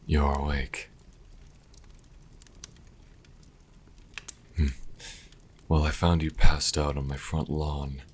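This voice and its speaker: A man's voice: extremely low pitch, flat delivery, slight background noise. A man playing a sassy vampire and reluctant caretaker in an audio roleplay, speaking dryly and sardonically to the listener. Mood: dry, calm. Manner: dry, sardonic, unhurried. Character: sassy male vampire, former thrall, grudging caretaker.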